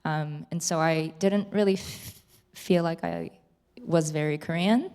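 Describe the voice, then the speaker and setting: A woman's voice: sits low, a little slow, darkish tone, clear, resonant tone. A western Canadian woman reflecting personally in a casual, unscripted way at a live Q&A. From Canada, outside Vancouver. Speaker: series host and co-director on a panel. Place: theatre stage at a live screening Q&A. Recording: reverberant hall, stage microphone.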